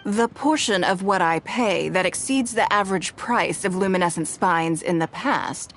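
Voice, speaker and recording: A woman playing a composed, shrewd and stern wealthy noblewoman in a video game, speaking in a measured, formal, authoritative way. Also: fairly low pitch, faint music behind, lightly acted. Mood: businesslike.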